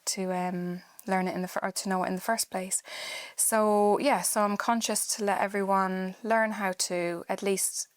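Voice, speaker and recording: A woman's voice: mid-range voice, fairly even intonation, moderate pace, no echo. A woman in her thirties from Ireland with an Irish accent, chatting as a podcast guest about teaching sewing, with many ums and restarts. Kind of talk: casual podcast chat.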